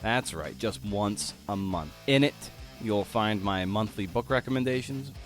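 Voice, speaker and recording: A man's voice: lowish voice, unhurried, faint music behind. A man hosting a podcast in an informal, conversational way, often rephrasing as he goes. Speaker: podcast host. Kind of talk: conversational interview and podcast narration.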